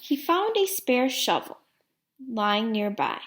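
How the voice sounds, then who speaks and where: A woman reading a children's storybook aloud like a teacher, deliberately, putting questions to young listeners. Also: a little high, sing-song, fairly bright tone.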